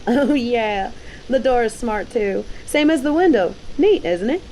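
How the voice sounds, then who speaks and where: A woman's voice: on the high side, lively intonation, measured pace, dark tone, slight background noise, rough recording. A woman playing a cocky, dominant bounty hunter in an audio roleplay, with a mocking, taunting, slangy delivery. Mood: smugly teasing. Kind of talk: scripted roleplay audio drama.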